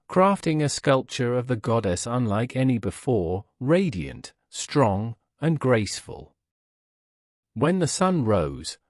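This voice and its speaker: A man's voice: mid-range voice, darkish tone, no room sound, clean studio sound. A man narrating a scripted bedtime story as a storyteller.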